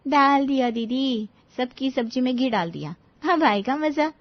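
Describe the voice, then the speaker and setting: A woman's voice: sits high, measured pace, no echo, lo-fi sound. A woman playing an eager, naive young daughter-in-law in a cartoon family story.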